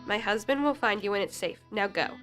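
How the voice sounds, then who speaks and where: A cautious helper of runaway slaves read by a female student speaks in a medium-pitched woman's voice. This is a school radio drama with clear music underneath. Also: expressive, brisk, lightly acted. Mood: urgent, cautious.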